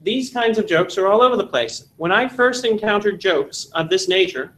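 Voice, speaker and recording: A man from Texas in his forties, giving an animated classroom lecture and telling jokes in a seminary meeting room. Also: high-pitched, moderate pace, rough recording. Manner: animated storytelling with jokes, gesturing. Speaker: seminar instructor.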